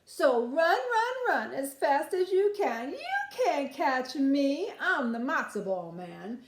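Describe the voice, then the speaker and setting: A woman's voice: sits high, sing-song, slow, voice pushed up, exaggerated voice. A woman voicing a cocky little runaway dumpling boy from a children's storybook, boasting and taunting in singsong, in a roomy space. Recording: roomy, echoing room sound.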